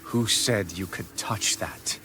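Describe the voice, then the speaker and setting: An actor playing a menacing, arrogant, vengeful sovereign villain in a video game, speaking in a slow, haughty, threatening way. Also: male voice, low-pitched, a little hiss or hum, heavily acted. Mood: cold menace. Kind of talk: dramatic video game acting. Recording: loud music behind.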